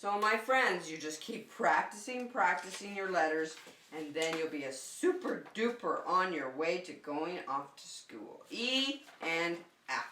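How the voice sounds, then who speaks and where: A woman with a bright, forceful voice leads a preschool lesson in short, simple, encouraging phrases. Her pitch swings in a lively way and she takes her time. She is recorded at some distance in an echoey room. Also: unhurried, a little hiss or hum. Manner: simple, encouraging instruction addressed to young children. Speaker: preschool teacher hosting circle time. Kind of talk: children's lesson with counting and simple songs.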